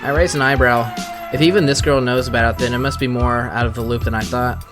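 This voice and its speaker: A man's voice: medium pitch, fairly even intonation, fast talker. A man with an American accent, a game streamer reading visual novel narration aloud. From Missouri, United States. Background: guitar music.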